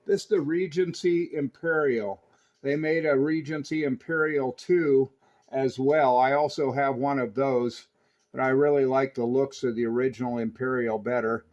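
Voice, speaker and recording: American man, dull lo-fi audio, mid-pitched voice, casual CB radio chat, enthusiastic explainer. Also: steady tone, moderate pace, a little mellow. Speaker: CB radio hobbyist demonstrating a radio.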